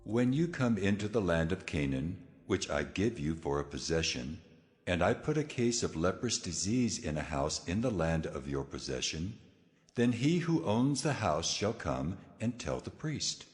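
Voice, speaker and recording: A deep divine voice, solemn and authoritative, rings out in a long reverb. The delivery is grave and measured yet moves widely in pitch, as in a dramatized scripture production. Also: male voice, sing-song. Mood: solemn, commanding. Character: God, solemn commanding male divine voice. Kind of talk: dramatized scripture audio acting. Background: music.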